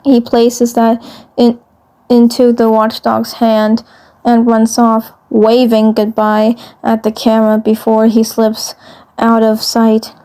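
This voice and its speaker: A woman narrating a fan fiction story, reading haltingly and often repeating phrases. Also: a little high, completely flat delivery, warm tone.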